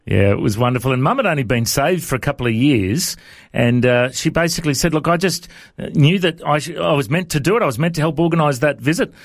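A man's voice: a little high, lively intonation, quick pace, no echo, studio quality. A man in his forties with an Australian accent, hosting a conversational podcast interview. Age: 40s. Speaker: radio interview host.

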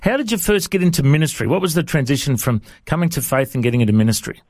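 An Australian man in his forties hosts an interview in a conversational manner. His voice sits a little high for a man, and the sound is dry, with very little room in it. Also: big pitch swings, fast talker, darkish tone, no room sound. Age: 40s. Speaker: radio interview host.

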